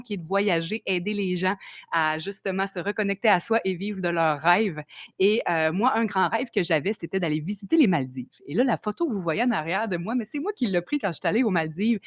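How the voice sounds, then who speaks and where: A woman's voice: medium pitch, no room sound, clean studio sound. A woman with a Québécois accent, chatty and upbeat, hosting a live video call presentation and greeting people from the chat. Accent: Québécois French. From Quebec, Canada. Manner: chatty and upbeat, reads out chat names, frequent fillers. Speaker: presenter of an online sales meeting. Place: home in Tulum.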